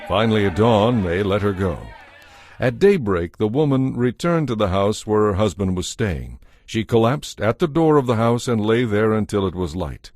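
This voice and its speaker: A man narrating a dramatized Bible as a scripted story, in a measured storytelling manner. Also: fairly low pitch, lively intonation, a little mellow, no echo, clean studio sound.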